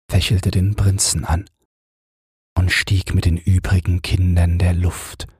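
A man narrating a bedtime fairy tale as an audiobook, in a calm, gentle and measured manner. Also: low-pitched, breathy edge, no echo, studio quality.

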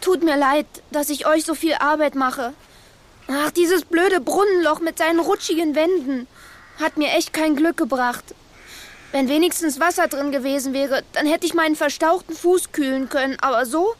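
A woman's voice: sits high, normal speed, natural voice. An actress playing a sweet, plaintive, questioning young girl in a children's audio drama. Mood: sorry, complaining.

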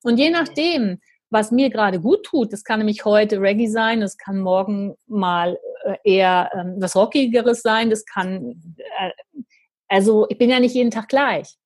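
Female podcast host, husky voice, gushing and rambling. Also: mid-range voice, measured pace. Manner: effusive, rambling, many fillers. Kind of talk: casual interview chat.

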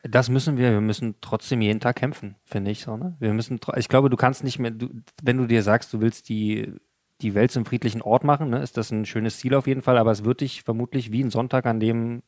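A man's voice: medium pitch, steady tone, fairly quick, a little mellow, dry recording. Hesitant man, dry close mic. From Berlin. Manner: hesitant, many fillers and tag questions. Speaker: podcast guest, artist. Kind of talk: casual podcast conversation.

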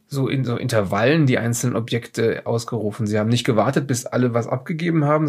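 A man playing an evasive, rambling researcher under questioning in an improvised radio play, speaking haltingly and backtracking. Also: medium pitch, lightly acted. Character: evasive, rambling male researcher being questioned after strange events. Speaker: player in an audio-drama let's play of a solo horror adventure.

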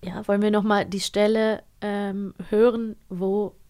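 A woman's voice: medium pitch, level tone, unhurried, mellow, smooth. A woman co-hosting a magazine about radio plays, talking casually and thinking aloud as she reviews. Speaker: radio drama magazine co-host.

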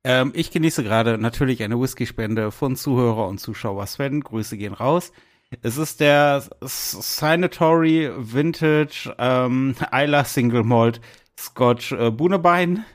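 A man's voice: medium pitch, unhurried. A man chatting casually as co-host of a podcast.

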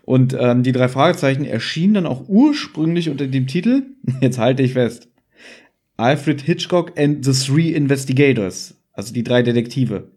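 A man's voice: medium pitch, normal speed, darkish tone, husky. A man hosting a podcast in casual chat, explaining things discursively with asides and little tag questions. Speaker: podcast host.

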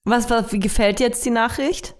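A woman's voice: fairly high pitch, steady tone, a little fast. A young woman co-hosting a podcast, chatting casually with a friend in a teasing, slangy way. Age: young adult. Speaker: podcast co-host. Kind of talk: casual chat between friends.

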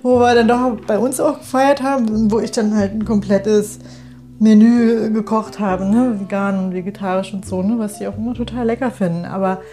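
A woman's voice: medium pitch, fairly even intonation, normal speed, warm tone. A woman in a casual, conversational chat, often ending her thoughts with little tag questions that seek agreement. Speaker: guest in a conversation.

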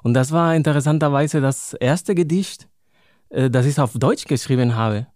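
A man in his thirties from Spain speaks German with a Spanish accent, pausing to search for words and laughing easily. His voice sits in a medium range. The recording is dry and close, with no room sound. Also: mid-range voice, a little mellow, dry recording. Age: 30s. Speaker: poet and author guest. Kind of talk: interview, reading own poem.

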